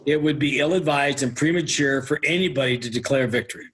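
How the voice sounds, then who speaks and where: A man's voice: mid-range voice, fast, fairly bright tone, breathy edge. A man giving an interview comment as a political analyst. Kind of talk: interview soundbite.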